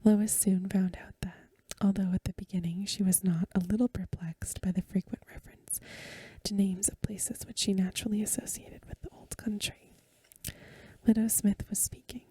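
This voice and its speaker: A woman reads a story aloud in a hushed, breathy, mostly whispered ASMR style, unhurried and soothing, close to the microphone. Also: mid-range voice, steady tone, no echo. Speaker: ASMR reader and host. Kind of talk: soft-spoken ASMR reading of a Victorian story.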